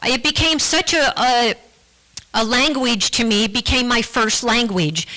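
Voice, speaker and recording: Church testimony, woman, bright and a bit high, earnest, stops and restarts often. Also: a little slow, rough recording. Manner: earnest, with frequent restarts and repeated words. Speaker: guest speaker giving a testimony.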